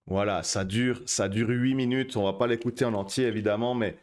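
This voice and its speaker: A man's voice: fairly low pitch, fairly even intonation, brisk, darkish tone. Hesitant male streamer. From Switzerland. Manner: hesitant, many fillers and restarts. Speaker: poker streamer host. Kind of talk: casual live-stream chat.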